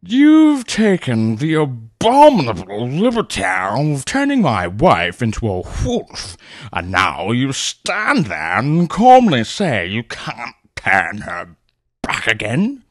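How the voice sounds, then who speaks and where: An outraged older colonel from a storybook, loud and drawn-out, played by a man. He demands answers in a dry, close recording. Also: lowish voice, animated, dry recording. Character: blustering, indignant older colonel.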